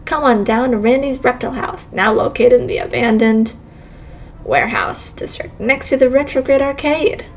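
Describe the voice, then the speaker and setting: A brisk commercial announcer from a visual novel voiced by a woman delivers a scripted sales pitch. The voice sits fairly high. There is slight noise in the background. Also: animated. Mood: salesy.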